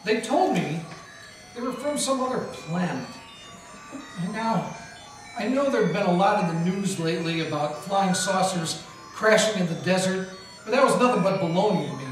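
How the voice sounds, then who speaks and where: A wisecracking 1940s gumshoe. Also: male voice, high-pitched, lively intonation, moderate pace. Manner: wisecracking, hard-boiled. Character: wisecracking male 1940s private eye. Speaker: narrator and lead actor. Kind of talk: 1940s radio acting, live. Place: historic mansion hall, indoors, live audience. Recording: echoey room from far off with music.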